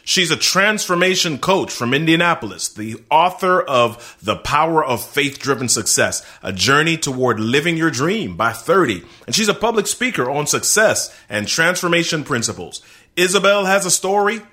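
Lively, bright-voiced man hosting a podcast. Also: fairly high pitch, moderate pace. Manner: upbeat, emphatic, addresses listeners directly. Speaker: podcast host.

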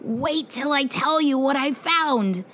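Woman doing an excitable, high-pitched adventurous boy. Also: a little slow, vocal fry, a little hiss or hum, exaggerated voice. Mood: secretive, proud. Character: excitable adventurous boy nicknamed Wingnut, daring and spooked.

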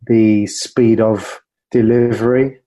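A man's voice: fairly low pitch, fairly even intonation, takes it slowly, mellow, dry recording. A man speaking as a communication coach in an interview, explaining things through anecdotes. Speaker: communication coach and former broadcaster.

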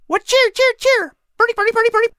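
Chirpy forest birds. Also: male voice, very high-pitched, fairly even intonation, quick pace, bright, forceful tone, no room sound, clean studio sound, pitched above the performer's own voice. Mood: chirping.

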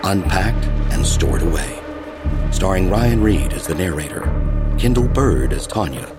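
An actor playing the narrator of a horror audio drama, a man telling the story in a measured, scripted style. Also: deep voice, lively intonation. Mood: formal announcing. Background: music.

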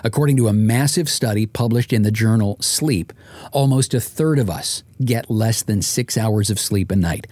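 A man's voice: lowish voice, darkish tone, no room sound. Man, dry close-miked narration. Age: middle-aged. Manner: wry, dramatic comic emphasis. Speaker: author, podcast host and morning radio presenter. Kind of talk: first-person audiobook narration.

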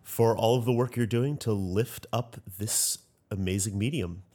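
A man from North America with a lowish voice, moderating a discussion. He speaks thoughtfully and courteously. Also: a little slow, mellow. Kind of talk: panel discussion.

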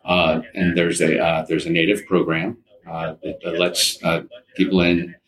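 Deep-voiced middle-aged man. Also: level tone, measured pace, a bit bright, low-quality recording. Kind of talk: casual personal storytelling in an interview.

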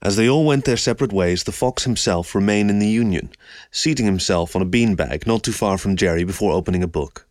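A man's voice: fairly low pitch, fairly quick, darkish tone, no room sound, clean studio sound. A man reading a scripted story as an audiobook narrator.

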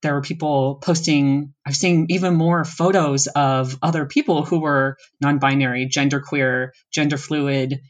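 A young adult from the American East Coast, with a voice sitting right in the middle of the pitch range. They talk in a loose, meandering way during a relaxed interview. They restart sentences often and laugh easily as they go. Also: unhurried, few pauses. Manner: thoughtful, rambling, with frequent laughs and restarts. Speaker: interview guest. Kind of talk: casual interview conversation.

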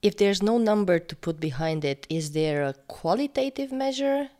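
A woman's voice: medium pitch, animated, normal speed, dark tone. A woman whose first language is Romanian, interviewing a guest with curious, long-winded questions.

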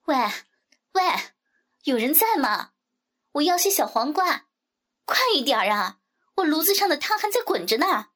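A woman voicing a loud, shrill, impatient neighbourhood housewife and gossip in an audiobook story. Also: big pitch swings, moderate pace, long pauses. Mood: impatient calling.

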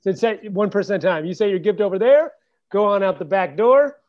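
A man's voice: high voice, lively intonation, fairly quick, muffled recording. A man co-hosting a podcast, chatting casually and telling funny stories with mimicked voices. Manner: joking storyteller, mimics voices. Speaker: podcast co-host. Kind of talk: casual chat.

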